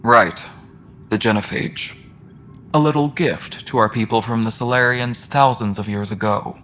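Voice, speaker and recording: An actor playing a thoughtful, fair-minded alien engineer in a video game, speaking in an even-tempered, reflective way. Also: male voice, mid-range voice, a little slow, close to an everyday voice. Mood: solemn, reflective. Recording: faint station background noise.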